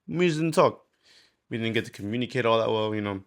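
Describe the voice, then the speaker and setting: A man's voice: lowish voice, expressive, fast talker. A man, speaking candidly in a rambling, slangy, sweary way, as in a casual confessional video diary. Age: 20s. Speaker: vlogger.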